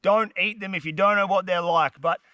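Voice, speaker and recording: Blocked-up vlogger, high-voiced. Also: male voice, fairly even intonation, normal speed, bright, forceful tone, no room sound. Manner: laid-back, chatty, slangy. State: congested, blocked-up nose. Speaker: fishing vlogger. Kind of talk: casual outdoor vlog to camera. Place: dense humid forest, outdoors.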